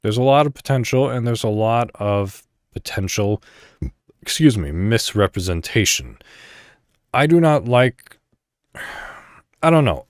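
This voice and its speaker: A man's voice: lowish voice, no echo, studio quality. A man hosting a podcast, reading articles aloud with casual commentary, occasionally stumbling over words and correcting himself. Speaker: podcast host.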